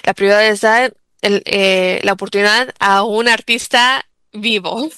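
Bright, crisp-voiced bilingual woman guest. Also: mid-range voice, steady tone, measured pace, no room sound. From United States. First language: English. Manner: reflective, many fillers and restarts. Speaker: interview guest, bilingual mother. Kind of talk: interview answers.

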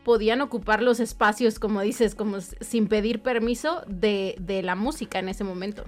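A woman's voice: mid-range voice, normal speed. A woman, co-hosting a music podcast, speaking hesitantly with many fillers. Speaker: podcast co-host. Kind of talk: casual music chat.

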